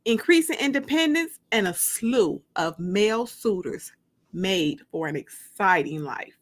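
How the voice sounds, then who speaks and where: A woman hosting a livestream as an author, chatting and reading dramatically from a book with drawn-out, emphatic delivery. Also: medium pitch, expressive. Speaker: host and author.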